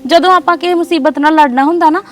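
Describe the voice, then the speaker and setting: A woman's voice: high-pitched, steady tone, fast, slight background noise, natural voice. A woman acting a caring older sister who comforts and advises in a soothing, firm way. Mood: consoling. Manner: soothing, pleading, then firm advice. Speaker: actor. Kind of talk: scripted drama acting. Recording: distant mic with faint music.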